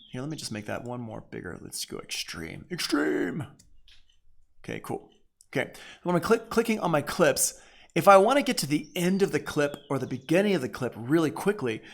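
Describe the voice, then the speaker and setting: Casual American man teaching audio software. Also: medium pitch. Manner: informal, punctuates steps with short exclamations. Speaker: audio production instructor. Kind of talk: casual software tutorial, thinking aloud while demonstrating.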